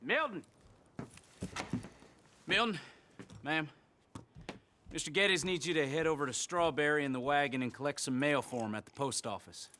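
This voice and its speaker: An actor playing a brisk ranch hand relaying orders in a video game drama. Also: male voice, on the high side, pushed and bright, slight background noise. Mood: businesslike.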